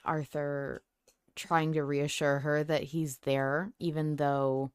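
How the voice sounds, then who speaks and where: Woman cohosting a fan podcast, low smooth voice, very dry sound, just chatting. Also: level tone, slow, no room sound. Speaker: podcast host. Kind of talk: casual fan discussion.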